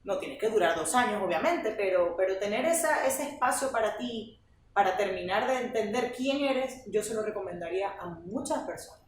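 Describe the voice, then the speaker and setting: A young woman with a Venezuelan accent, a guest telling stories in a lively, chatty podcast interview. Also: medium pitch, moderate pace. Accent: Venezuelan Spanish. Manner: chatty, animated storytelling. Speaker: guest, flamenco teacher. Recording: roomy, distant mic, faint noise.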